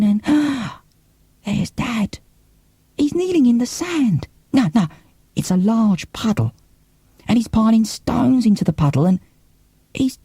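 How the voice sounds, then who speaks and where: A man's voice: sits high, gravelly, mellow, husky, no echo, voice pushed up, full character voice. A man voicing an uncertain, wondering young lad with a hesitant manner in an audiobook. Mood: awed. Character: Milo, uncertain, wondering young lad with London street talk. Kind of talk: dramatic audiobook character reading. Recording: cassette audiobook.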